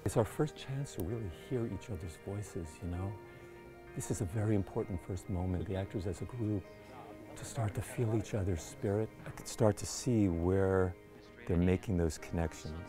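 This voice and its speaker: A man's voice: low voice, normal speed. A man speaking as a theatre director, guiding a group of actors through their work. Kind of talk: guiding talk to cast. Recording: music behind the voice.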